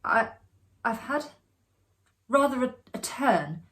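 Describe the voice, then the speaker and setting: A woman voicing a shaken, sheepish young man in a ghost story, halting and repeating words. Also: on the high side, lively intonation, fairly bright tone, pitched above the performer's own voice. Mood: shaken, evasive. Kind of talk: performed reading of a ghost story.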